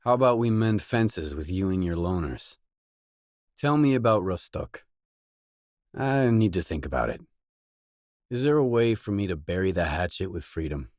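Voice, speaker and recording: A man's voice: sits low, sing-song, normal speed, pauses often, a little mellow, no room sound, studio quality. An actor playing a pragmatic male mercenary stalker in a video game, speaking in restrained, matter-of-fact, clipped lines. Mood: matter-of-fact, guarded. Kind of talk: video game character dialogue.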